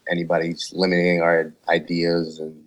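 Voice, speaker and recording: A man speaking as a musician guest in casual chat, halting and often rephrasing as he goes. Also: low-pitched, completely flat delivery.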